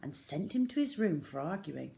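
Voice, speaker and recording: A woman reading a children's story aloud in a measured, expressive, dramatic way with hushed emphasis. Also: low-pitched, creaky.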